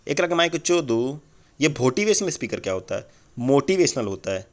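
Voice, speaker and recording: A man's voice: mid-range voice, big pitch swings, moderate pace, close to an everyday voice. A man playing a crude, irritable motivational speaker in a comedy phone-call sketch, ranting bluntly and swearing in a rambling, cynical way. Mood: dismissive.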